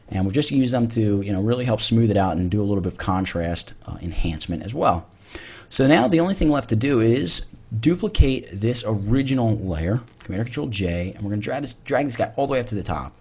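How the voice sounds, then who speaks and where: A man narrating a software tutorial in an informal way, thinking aloud as he demonstrates. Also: on the low side, breathy edge. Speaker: tutorial instructor.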